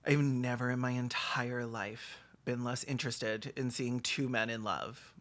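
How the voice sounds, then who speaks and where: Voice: medium pitch, fairly even intonation, unhurried, fairly bright tone. A video host giving comedic reaction commentary in a theatrical, exclamatory and sarcastic way.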